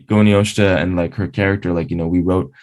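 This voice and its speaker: Low-voiced man, musing with many fillers. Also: steady tone, fast, darkish tone. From Canada. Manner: thoughtful, many fillers like "you know" and "like". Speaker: film composer, guest. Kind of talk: casual interview talk.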